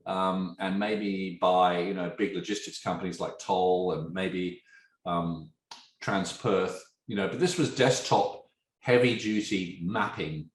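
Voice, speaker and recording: A man in his fifties with an English accent softened by years in Australia, a tech entrepreneur telling his story as a podcast guest and quizzing his host. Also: low voice, takes it slowly. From England. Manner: animated storyteller, poses questions to his listener. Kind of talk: conversational podcast interview. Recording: roomy echo.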